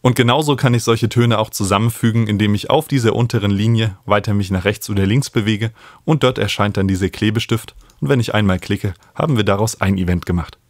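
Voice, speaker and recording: A man's voice: on the low side, brisk, no echo. A man in his thirties explaining step by step as a tutor, recorded close on a studio microphone. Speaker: host and music production tutor. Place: small home studio room, indoors. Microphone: studio microphone close on a stand.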